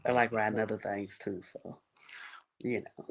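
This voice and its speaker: Soft-spoken woman with a low creaky voice. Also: fairly even intonation, moderate pace, low-quality recording. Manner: laughs often, chatty storytelling, greets viewers. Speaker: livestream host. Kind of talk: casual live-stream chat.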